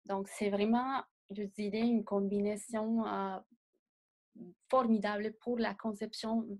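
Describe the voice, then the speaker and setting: A young woman, not a native speaker of the language, answering interview questions as a designer in a measured way and often pausing to find words. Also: mid-range voice, level tone, a little mellow. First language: not French. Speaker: designer guest.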